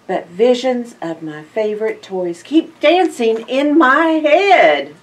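An older woman reading a picture book aloud to young children in an expressive, drawn-out storytime manner. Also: a little high, distant mic. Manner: expressive, drawn-out phrases with hushed asides and questions to listeners. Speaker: library storytime reader. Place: indoor library in front of bookshelves.

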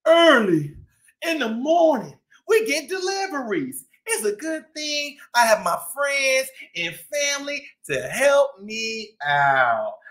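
Busy, boastful boss cat for a picture book, performed by a man, high-pitched, very animated, friendly and chatty. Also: takes it slowly, heavily acted. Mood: busy, proud. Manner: boastful, exclamatory storybook narration.

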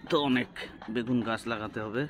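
A man's voice: mid-range voice, little pitch movement, fairly quick. A man with a Bangladeshi accent chatting casually as a vlogger, musing aloud and asking questions while filming outdoors on a handheld phone. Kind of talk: casual spoken commentary while filming plants. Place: outdoors on a rooftop garden. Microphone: handheld phone behind the camera. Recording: phone recording with clear outdoor ambient noise.

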